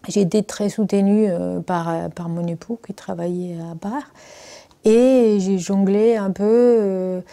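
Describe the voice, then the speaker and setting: Middle-aged woman, researcher in a documentary interview, mellow and warm, pausing often as she speaks. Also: mid-range voice. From Argentina. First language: Spanish. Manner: hesitant, many fillers, thoughtful. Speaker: research engineer. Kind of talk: documentary interview, personal story.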